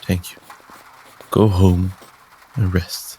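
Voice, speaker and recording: A man playing a solemn, gentle priestly healer in a tabletop game, speaking in measured, formal, caring phrases. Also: low voice, level tone, slightly rough, full character voice. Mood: gently firm.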